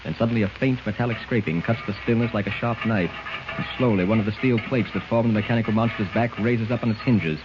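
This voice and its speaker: A man's voice: on the low side, quick pace, dull audio. A man narrating a 1940s radio serial in a dramatic, suspenseful, declamatory style, on an old scratchy recording. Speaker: narrator, announcer.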